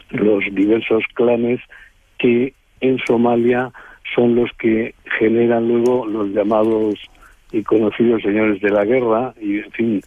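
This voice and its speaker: Husky man on a phone-like line. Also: on the low side, no room sound. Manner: halting, digressive, frequent tag questions. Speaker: guest, travel writer. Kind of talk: conversational travel anecdotes in an interview. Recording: muffled, phone-like narrowband line.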